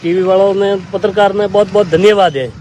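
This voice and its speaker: A man's voice: high-pitched, steady tone, brisk, a little mellow. A man with a rural Rajasthani accent talking informally to a reporter outdoors. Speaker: local villager interviewed. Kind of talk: informal dialect talk to a reporter. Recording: noisy outdoor village interview.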